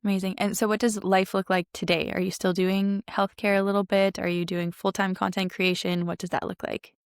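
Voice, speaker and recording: Co-host: Canadian woman, mid pitch, dry close mic, upbeat and chatty. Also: flat delivery, brisk, clean tone, no echo. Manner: upbeat, asks questions, acts out quotes. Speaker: podcast co-host. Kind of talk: casual conversational interview.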